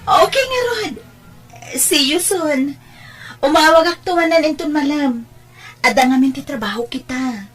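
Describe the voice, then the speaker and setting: A woman's voice: sits high, animated, a little slow, pauses often, fairly bright tone, voice pushed up. A woman with an Ilocano accent playing a chatty, friendly younger sweetheart in a family audio drama. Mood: friendly, eager. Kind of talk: Ilocano radio-style drama acting.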